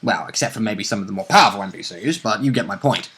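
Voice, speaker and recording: Bright-toned man chatting casually. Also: fairly low pitch, animated, quick pace. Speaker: co-host of a tabletop roleplaying vidcast. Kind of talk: casual chat and banter.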